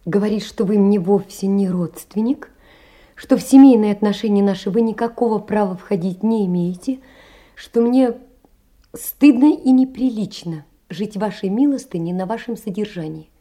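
An actress plays a sickly, poor young woman in a stage drama. Her voice is very dark and soft-edged, and she speaks in an earnest, plaintive way, blaming herself and aching with sorrow. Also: medium pitch, moderate pace, close to an everyday voice. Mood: hurt, indignant. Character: sickly, anguished, self-reproaching poor young woman writing letters. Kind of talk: theatrical monologue, letters read as drama.

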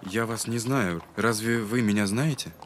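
An actor playing an earnest, gentle young man in an audio drama, polite and pleading. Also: on the low side, normal speed, noisy background, natural voice. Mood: gentle, puzzled. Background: music.

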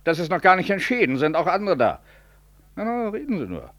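An actor playing an officious, insinuating medical professor in a 1960s radio drama, formal and probing in manner. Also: male voice, high-pitched, wildly swooping pitch, fairly quick, fairly bright tone, heavily acted. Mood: sly, probing. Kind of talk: 1960s German-language radio drama acting.

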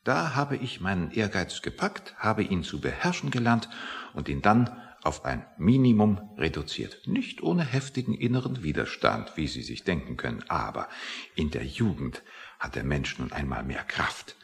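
A man's voice: deep voice, sing-song, moderate pace, vocal fry, full character voice. An actor playing a grumpy, self-satisfied, pedantic man in a satirical radio play. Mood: pompous, musing. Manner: pedantic, lecturing, easily offended. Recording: reverberant hall-like room.

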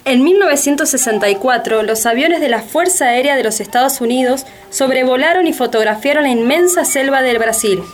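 A woman delivers dramatic scripted documentary narration. Her voice is a little high, with faint music running behind. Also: animated, a little fast, hardly any pauses.

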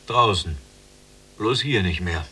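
An actor playing a restless, dreamy younger prisoner longing for escape in a radio play, plain and measured. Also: male voice, fairly low pitch, expressive, sharp tone, slight background noise, exaggerated voice. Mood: insistent. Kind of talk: literary radio play acting.

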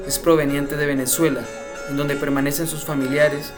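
A man reading a scripted report in a measured, even way. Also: on the high side. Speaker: narrator of a report. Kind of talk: scripted narration. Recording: voice-over with loud music behind.

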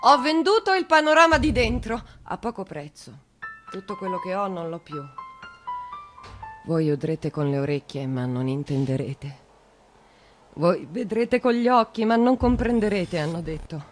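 An actress playing an anguished, trapped woman in a theatrical monologue, with a Roman accent and fragmented, repetitive delivery that moves between hushed and shouted. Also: mid-range voice, lively intonation, a little slow, soft background music, natural voice. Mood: numb anguish.

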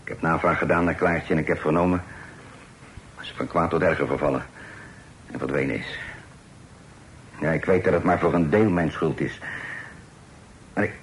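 An actor in a radio play voicing a proud sailor turned outlaw, speaking in a low, earnest and emphatic way. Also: male voice, normal speed, pauses often, a little hiss or hum, poor audio. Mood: confessing.

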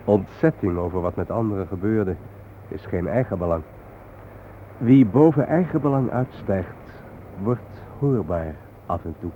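An actor playing a solemn, reflective male guide to war memorials in a radio play, explaining things gravely. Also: fairly low pitch, animated, unhurried, phone-quality sound. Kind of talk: naturalistic radio play acting. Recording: thin, noisy old radio recording.

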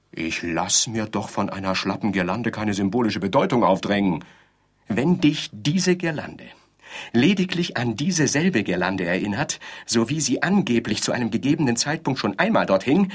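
A touchy, self-important bridegroom in a radio drama. He talks in stilted, pedantic sentences with theatrical weight. His mid-low voice has a slightly rough, husky edge. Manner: pedantic, stilted, over-elaborate phrasing. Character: pompous, touchy middle-class bridegroom on his wedding night.

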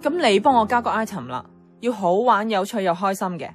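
An actress playing a playful, animated young female office worker with a Hong Kong Cantonese accent in a radio drama. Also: mid-range voice, fairly quick, soft background music, natural voice. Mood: playful, wondering.

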